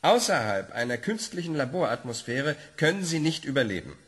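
A man's voice: mid-range voice, big pitch swings, a little fast, fairly bright tone. An actor playing an eager male biology professor who is easily flustered. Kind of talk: radio play acting.

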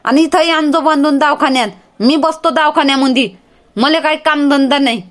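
A woman's voice: high voice, fairly even intonation, fairly quick, close to an everyday voice. A woman voicing an obliging, bossy village relative in a cartoon comedy, with a rural Vidarbha Marathi accent. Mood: insistent.

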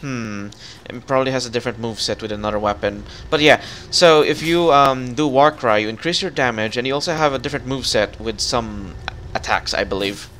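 A man's voice: mid-range voice, brisk, hardly any pauses, fairly bright tone, a little hiss or hum. A man chatting casually while playing a game, coaching his co-op partner step by step. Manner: instructive, coaching. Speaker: gamer guiding a co-op partner. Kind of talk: casual gameplay chat.

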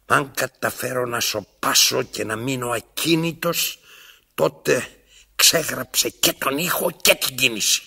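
An actor playing a domineering, needy old master in a theatrical radio drama, with a rasping voice and halting, repetitive delivery. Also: male voice, medium pitch, sharp tone, heavily acted. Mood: brooding. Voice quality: rasping, gravelly. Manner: halting, repeats words, dramatic pauses.

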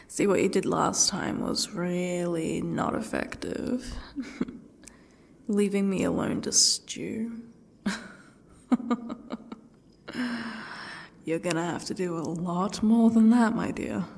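A woman playing a defiant captive who taunts her torturer with bitter sarcasm in an ASMR roleplay, husky and often dropping toward a whisper, in halting, mocking phrases. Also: medium pitch, a little mellow, heavily acted. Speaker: audio roleplay performer. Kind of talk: ASMR roleplay monologue acting.

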